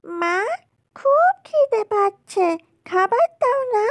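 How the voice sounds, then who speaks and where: A woman's voice: very high voice, very animated, slow pace, no room sound, studio quality, heavily acted. A woman voicing an affectionate, plaintive small village child in a dramatized doll story. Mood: whiny, hungry.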